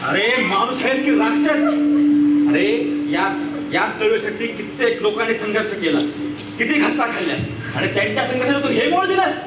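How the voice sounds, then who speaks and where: An actor playing an indignant activist in a stage play, accusatory and declamatory, with a Maharashtrian accent. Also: male voice, very high-pitched, lively intonation, a little fast. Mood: outraged. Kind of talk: theatrical Marathi stage acting. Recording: distant, noisy, echoey stage recording.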